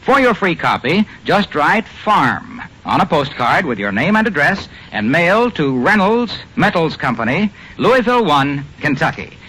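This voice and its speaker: A man's voice: a little high, big pitch swings, a little slow, hardly any pauses, pushed and bright, breathy edge. An American man announcing in a polished, salesmanlike 1950s radio advertising style. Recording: slightly noisy old radio recording.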